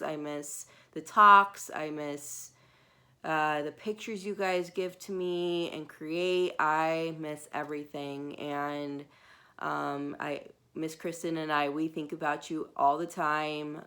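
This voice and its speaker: A woman preschool teacher talking informally and a little haltingly to young children at story time. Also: low voice, sharp tone.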